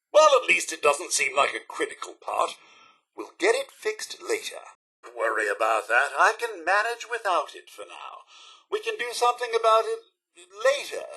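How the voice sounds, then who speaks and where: A man playing a polite, fussy robot butler in a video game, with a British accent, a raspy voice and prim, theatrical, upbeat manners. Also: high voice, pushed and bright, exaggerated voice. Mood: flustered, stoic optimism. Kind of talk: video game character acting.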